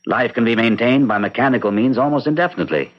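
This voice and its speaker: A man playing a rational, measured guest in an old-time radio mystery, questioning and narrating. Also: medium pitch, expressive, close to an everyday voice. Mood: dismissive, rational. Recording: dull lo-fi radio recording.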